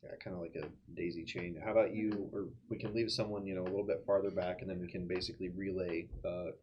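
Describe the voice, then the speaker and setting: Low-voiced man chatting at a game table. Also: level tone, fast talker. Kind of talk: casual game-table chat, partly in character. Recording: dull, lo-fi, faintly noisy recording.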